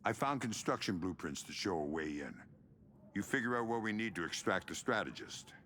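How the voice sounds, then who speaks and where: An actor playing a calm, disciplined veteran covert operative in a video game, giving terse, measured tactical talk. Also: male voice, lowish voice, slight background noise. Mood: calm, focused. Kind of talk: cinematic game acting.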